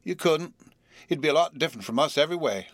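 A man's voice: a little high, animated, fast talker, a bit bright, dry recording, voice pushed up. A man voicing an easygoing but persistent, lovelorn young man with a Southern US accent, blunt and plaintive, in a storybook reading. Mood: pleading.

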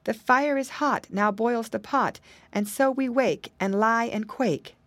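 A woman's voice: fairly high pitch, unhurried, a bit bright, no echo, voice pushed up. A small, frightened drake from a storybook, voiced by a woman reader, chants his fearful rhyme in a raised, trembling voice. The sound is close and dry. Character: frightened little drake, young and brave.

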